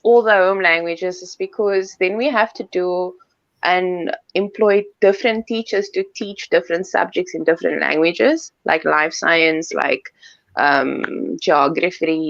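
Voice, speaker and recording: A South African woman whose first language is Afrikaans, explaining school policy in a relaxed, conversational way during a live online Q&A. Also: lowish voice, unhurried, creaky, no room sound, muffled recording. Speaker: school staff member.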